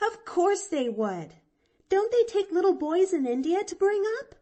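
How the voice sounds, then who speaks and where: Woman voicing a cheerful, talkative little girl. Lively, bright-toned pitch, unhurried, folksy drawl. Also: exaggerated voice. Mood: eager. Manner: eager, chattering, folksy drawl. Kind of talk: audiobook reading.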